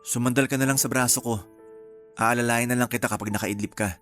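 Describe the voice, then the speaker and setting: A woman playing a cool, protective young man in a Filipino radio romance drama, curt and deadpan but caring. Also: extremely low pitch, fast talker. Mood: gentle. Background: music.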